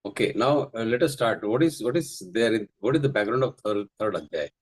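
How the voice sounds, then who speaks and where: A man's voice: lowish voice, animated, fairly quick. A man with an Indian English accent starting a scripture lecture with a prayer, mixing in Hindi and Sanskrit. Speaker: spiritual teacher.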